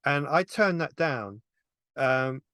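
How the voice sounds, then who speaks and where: A man's voice: medium pitch, expressive, takes it slowly, a bit bright, dry recording, clean studio sound. A man in a casual panel discussion, explaining things in a tentative way. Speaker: film review panelist and animation hobbyist.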